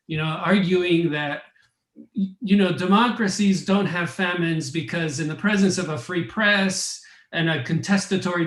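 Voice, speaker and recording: A middle-aged man from Argentina gives academic panel commentary. His voice sits a little high for a man, and he speaks thoughtfully, often restarting and repeating his words. The sound is roomy and echoey.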